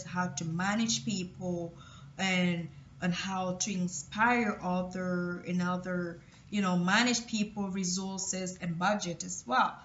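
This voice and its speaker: Slow, halting woman with Cameroonian French accent. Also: mid-range voice. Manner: halting, many restarts and fillers. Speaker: motivational vlogger. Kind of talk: personal motivational talk. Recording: roomy, distant, dull lo-fi sound.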